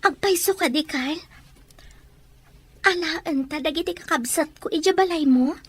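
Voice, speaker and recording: Woman playing a high-voiced devoted older sister. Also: moderate pace, a bit bright. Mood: grateful, tender. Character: devoted, grateful older sister, gentle and hardworking. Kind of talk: Ilocano radio drama acting.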